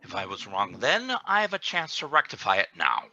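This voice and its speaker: A man's voice: on the low side, huge pitch swings, brisk, sharp tone, muffled recording, heavily acted. A man playing a scheming, accusatory security chief in a scripted radio play, pointed and theatrical. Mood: accusing.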